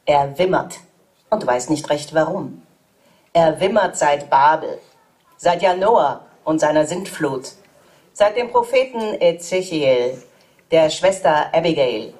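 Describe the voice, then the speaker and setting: A woman reading German translations of poems aloud in a measured, even way. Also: low voice.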